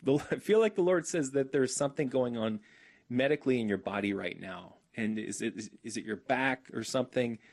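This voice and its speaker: A man's voice: medium pitch, fairly quick, a little mellow, close to an everyday voice. A man voicing a priest in an eager, casual and tentative way. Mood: friendly.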